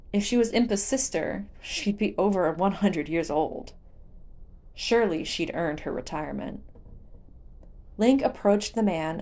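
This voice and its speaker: A woman's voice: mid-range voice, a little mellow. A woman reading a story aloud as scripted audiobook narration. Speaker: audiobook narrator of fan fiction.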